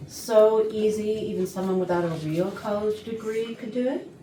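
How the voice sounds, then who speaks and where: A woman's voice: medium pitch, steady tone, moderate pace, natural voice. A woman playing an arch, condescending office worker in a staged comedy reading. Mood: snide. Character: snippy, condescending female office worker at Christmas party. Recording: roomy distant rehearsal recording, faint noise.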